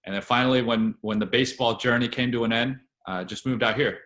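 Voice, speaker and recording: Man in his late 20s, relaxed steady guest, roomy echoey recording, mid pitch. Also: fast. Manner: relaxed, steady storytelling with fillers. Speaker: guest actor and writer. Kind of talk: casual interview chat.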